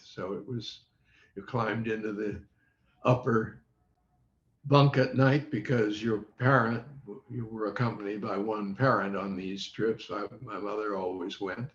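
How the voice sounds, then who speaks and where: An elderly man reminiscing as an interview guest, halting and circling back to rephrase, on a video call. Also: on the low side. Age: over 70. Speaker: interview guest, former child radio quiz contestant. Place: online video meeting. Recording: dull, echoey video call audio.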